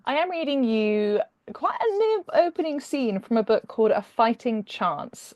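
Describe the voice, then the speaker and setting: A British woman with a middle-pitched voice, speaking as a guest author. She moves between conversational explanation and expressive storytelling, and the recording is close and dry. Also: dry recording. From Britain. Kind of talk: conversational introduction and expressive book reading.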